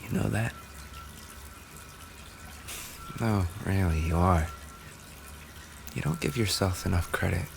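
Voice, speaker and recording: A man playing an affectionate, injured racing driver boyfriend in an intimate roleplay audio, speaking softly and tenderly, often close to a whisper. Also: deep voice, animated, moderate pace, creaky, noisy background, full character voice. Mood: tender, grateful.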